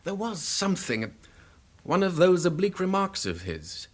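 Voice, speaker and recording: An actor playing a reluctant, defensive husband under police questioning in a radio drama, curt and dismissive. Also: male voice, sits high, big pitch swings, moderate pace. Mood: puzzled, recalling.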